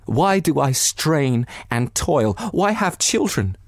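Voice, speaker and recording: A man voicing a distraught, bitter betrayed wife in a novel, near tears, with anguished, halting and emphatic delivery. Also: medium pitch, very animated, no echo, clean studio sound, pitched above the performer's own voice. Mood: spiteful despair.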